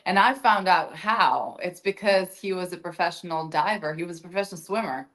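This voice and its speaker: A young woman with a light Ukrainian accent talks in a slightly low voice. She rambles casually as an interview guest, full of ums and restarts. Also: a little fast, fairly bright tone. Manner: chatty, with many fillers and restarts. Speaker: actress guest.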